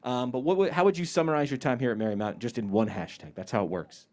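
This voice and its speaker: A man hosting a live-stream pre-ceremony show outdoors, interviewing graduates with energetic, joking questions he often repeats. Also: mid-range voice, fast.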